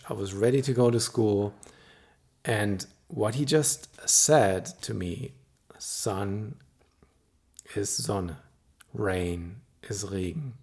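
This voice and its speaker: A middle-aged man whose first language is German, a hypnotist talking to camera in a calm, explanatory and softly persuasive way. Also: lowish voice, gravelly, husky. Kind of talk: solo talk to camera.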